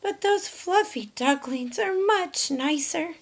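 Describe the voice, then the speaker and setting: Woman voicing a high-pitched eager mother hen. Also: animated, slow pace, slight background noise, full character voice. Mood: wistful, glum. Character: eager mother hen, hopeful, easily saddened.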